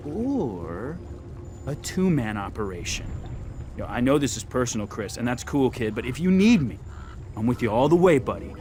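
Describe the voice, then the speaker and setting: An actor playing a brash, loyal, hot-headed resistance fighter in a video game, swaggering and sarcastic. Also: male voice, mid-range voice, very animated, normal speed, warm tone, soft background music, natural voice. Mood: loyal bravado. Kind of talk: video game cutscene acting.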